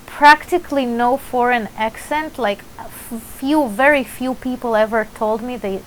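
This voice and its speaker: Slow, hesitant woman with an Israeli accent. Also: fairly high pitch, fairly bright tone, a little hiss or hum, poor audio. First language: Russian. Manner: hesitant, many fillers and restarts, light laughter. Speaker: vlogger. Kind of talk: casual vlog monologue.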